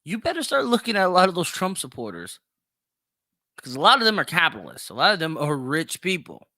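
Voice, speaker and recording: A man's voice: on the high side, huge pitch swings, fairly quick, pushed and bright, dry recording, clean studio sound. A man giving opinionated solo commentary as a podcast host, emphatic and repeating phrases for stress.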